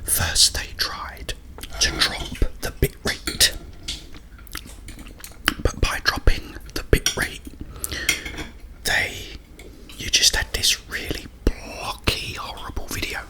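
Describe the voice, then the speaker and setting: Guy doing whispered asmr ramble, really slow, stops and starts, breathy. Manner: halting, pausing between short phrases. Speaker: ASMR creator and host. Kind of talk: whispered ASMR ramble about a video game.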